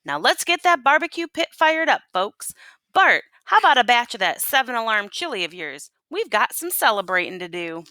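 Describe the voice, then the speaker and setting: A woman's voice: on the high side, sing-song, moderate pace, pushed and bright, dry recording. A woman voicing a hearty male mayor of a Western town in a storybook, with folksy talk. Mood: celebratory.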